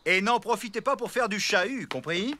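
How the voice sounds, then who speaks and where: A man's voice: high-pitched, lively intonation, normal speed, pushed and bright, full character voice. An actor voicing a bossy, exasperated elephant father in a cartoon. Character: grumpy tidy elephant father. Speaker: father character. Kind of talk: French cartoon dubbing. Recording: with music underneath.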